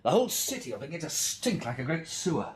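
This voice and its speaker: A man's voice: a little high, very animated, quick pace, crowd noise. An actor playing a pragmatic, sober English man in a radio drama, with an English accent and a dry, understated manner. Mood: urgent, grim. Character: pragmatic Englishman, survivor of a catastrophe, sober and curious.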